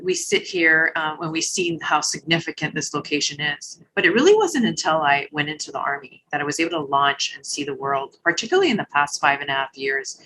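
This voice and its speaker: A middle-aged woman from Hawaii, a retired army general, answering interview questions in a thoughtful, measured way with small restarts. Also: deep voice, animated, pushed and bright, a little hiss or hum, rough recording.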